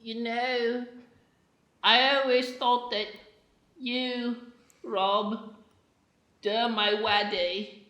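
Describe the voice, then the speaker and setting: A slow, slightly high voice for a muddled, mistrustful child acted by a woman. The words come out halting and tangled, in improvised radio play style, in a roomy space. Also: flat delivery, sharp tone, exaggerated voice. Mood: earnest, muddled. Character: muddled, suspicious child who mangles words. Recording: roomy, echoey voice recording.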